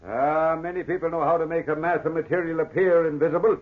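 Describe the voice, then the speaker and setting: On a muffled vintage radio recording, a man performs a brusque, skeptical police sergeant. He talks fast in a slightly high voice. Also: steady tone, close to an everyday voice. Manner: brusque, doubting. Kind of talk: old-time radio drama acting.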